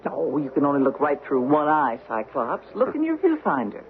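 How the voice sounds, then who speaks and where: An actress playing an insistent, excitable young American newlywed wife in a radio drama, speaking emphatically. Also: female voice, low-pitched, normal speed, heavily acted. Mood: playful, uneasy. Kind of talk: old-time radio drama acting. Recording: tinny, band-limited vintage radio, hissy.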